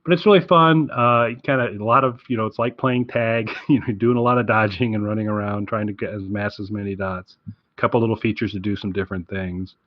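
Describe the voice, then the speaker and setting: Low-voiced rambling man, casual podcast chat. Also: fairly even intonation, brisk, dry recording, highs cut off. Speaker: podcast co-host. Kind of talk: casual game recommendation chat.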